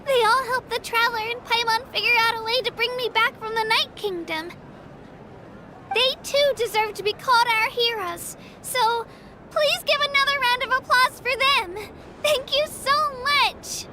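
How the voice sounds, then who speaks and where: A woman's voice: very high voice, moderate pace, a little hiss or hum. An actress playing a modest, earnest young girl warrior in a video game, speaking politely and sincerely. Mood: grateful, earnest. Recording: loud music behind the voice.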